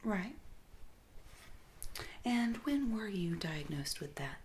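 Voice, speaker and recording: A woman speaking softly and breathily, often near a whisper, as a doctor gently asking unhurried questions in an ASMR roleplay. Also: medium pitch, big pitch swings, slight background noise. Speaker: ASMR performer playing a doctor. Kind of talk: soft-spoken ASMR medical roleplay.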